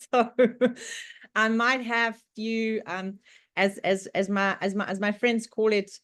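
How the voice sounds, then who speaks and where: A woman's voice: medium pitch, steady tone, takes it slowly, a bit bright, dry recording. Woman, Czech accent, chatty presenter, laughs often, lots of ums, dry close sound. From Czech Republic. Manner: chatty, laughs often, many fillers and restarts. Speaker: guest presenter. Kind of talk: online club presentation. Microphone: video call.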